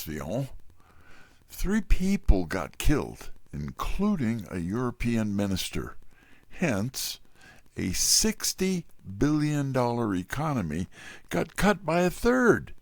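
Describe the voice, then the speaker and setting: Man doing a hardboiled wisecracking detective, gravelly, mid pitch, telling his own case. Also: dry recording, studio quality, full character voice. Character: wry, tough, wisecracking male detective's assistant narrating. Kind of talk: hardboiled first-person mystery narration and dialogue.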